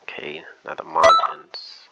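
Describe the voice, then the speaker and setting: A man's voice: a little slow, heavy background noise. A man giving casual gameplay commentary, hesitant and thinking aloud as he goes, often trailing off. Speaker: gaming video host.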